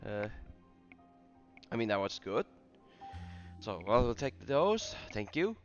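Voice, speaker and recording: Stammering, animated man commentating on a game. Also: medium pitch, highs cut off, soft background music. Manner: halting, stammering, repeats words. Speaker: gaming streamer. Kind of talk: casual live gameplay commentary.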